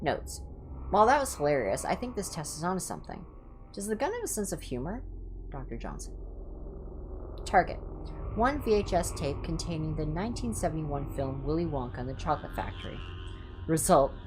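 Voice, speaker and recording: A woman's voice: low-pitched, very animated, brisk, a little hiss or hum. A woman hosting a livestream, reading clinical horror reports aloud with informal asides and the odd stumble over hard words. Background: music.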